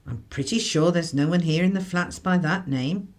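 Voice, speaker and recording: A woman's voice: on the low side, normal speed, a little mellow, close to an everyday voice. An actress playing a flustered, guarded mother of a young boy in a radio drama, fussing and apologetic.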